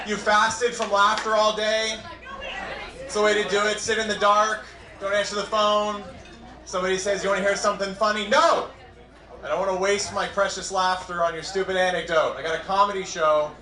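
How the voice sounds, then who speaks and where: A man in his twenties from New England with a New England accent, doing a wry, self-deprecating stand-up set on a comedy club stage. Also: high-pitched. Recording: echoey club room.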